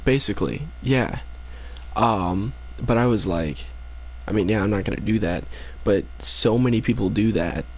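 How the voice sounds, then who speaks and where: A man's voice: lowish voice, normal speed, low-quality recording. A tired young man live-streaming a game late at night, chatting casually with viewers in a rambling, laid-back way with lots of fillers. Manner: rambling, laid-back, many fillers, reads chat aloud. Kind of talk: casual live-stream chat while gaming.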